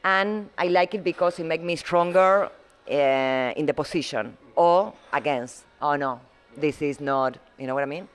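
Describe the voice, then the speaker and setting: A middle-aged woman from Argentina, speaking English as an in a halting, searching way as an interview guest. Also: low voice, smooth. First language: Spanish. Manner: halting, searching for words, frequent restarts. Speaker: muralist guest. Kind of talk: casual interview conversation.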